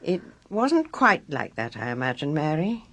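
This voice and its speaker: A woman's voice: low-pitched, very animated, measured pace, fairly bright tone, exaggerated voice. A woman playing a blunt, bossy, knowing village nurse in a British radio drama. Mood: confiding. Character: brisk, no-nonsense, knowing village nurse, female.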